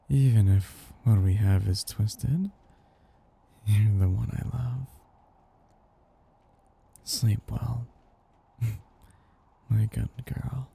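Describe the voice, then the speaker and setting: A man voicing a possessive, tender vampire noble in an intimate ASMR roleplay, coaxing slowly and dotingly in a husky, gravelly voice. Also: on the low side, full character voice. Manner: slow, coaxing and doting, with soft hums.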